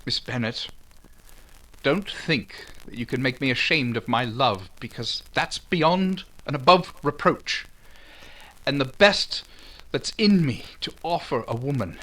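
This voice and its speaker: An actor playing a proud, ardent Regency gentleman suitor in old-time radio romance style, formal and earnest. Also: mid-range voice, low-quality recording. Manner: formal, earnest, halting when moved.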